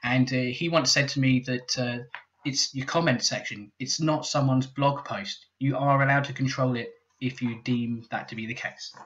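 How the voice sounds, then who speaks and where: A man's voice: mid-range voice, steady tone, normal speed, a bit bright, highs cut off. A British man in casual commentary, answering viewer comments with dry, sardonic asides. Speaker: YouTuber reading viewer comments. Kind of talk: sarcastic comment-reading commentary.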